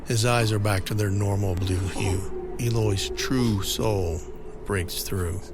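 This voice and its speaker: A man with a fairly low voice works as the narrator of an audio drama. He reads the script deliberately in clear-cut phrases, with music clearly playing behind him. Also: fairly even intonation.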